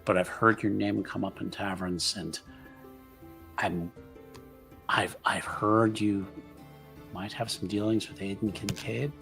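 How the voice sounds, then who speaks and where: Guy doing an earnest careful townsman in a tabletop rpg, low slow voice, halting and polite, faint music behind. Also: level tone, vocal fry, a bit bright, exaggerated voice. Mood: earnest, cautious. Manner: halting, repeating words, polite. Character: soft-spoken, earnest male tavern regular, cautiously warning.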